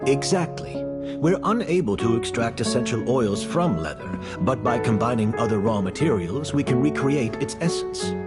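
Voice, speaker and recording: An actor playing a melancholy, obsessive perfumer in a video game, with a theatrical and deliberate manner. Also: male voice, mid-range voice, expressive, over loud music, natural voice. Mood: measured, wistful. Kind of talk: dramatic video game acting.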